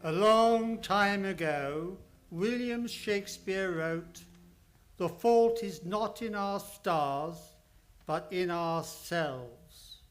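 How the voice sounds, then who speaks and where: A man playing a reflective narrator, delivering solemn, dramatic scripted narration for a radio play. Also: high-pitched. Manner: slow, solemn. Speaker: closing narrator. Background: music.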